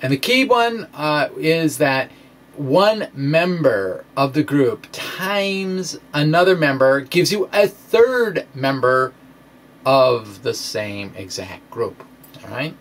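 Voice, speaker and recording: Slow-talking man explaining science, higher-pitched voice. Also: very animated, a bit bright. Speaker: science video blogger. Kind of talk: informal dramatic reading of own science blog, explanatory.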